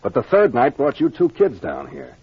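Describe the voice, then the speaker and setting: An American man playing a brisk, confident captain laying out a solved mystery in 1940s radio mystery style, plain-spoken and authoritative. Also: mid-range voice, huge pitch swings, breathy edge, heavily acted. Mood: brisk, explanatory. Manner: expository, authoritative, plain-spoken with gangster slang. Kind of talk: 1940s old-time radio mystery acting. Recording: dull, lo-fi vintage radio recording.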